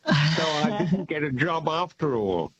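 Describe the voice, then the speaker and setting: A man born in England calling in to a radio show, telling a story in a measured way. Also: fairly high pitch, animated, fairly bright tone. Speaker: caller. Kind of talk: phone-in anecdote.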